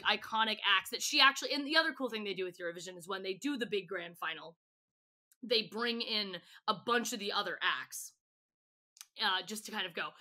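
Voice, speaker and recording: A woman's voice: medium pitch, bright, forceful tone. A woman chatting casually and enthusiastically as a podcast guest, in informal, gushing fan talk. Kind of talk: casual chat.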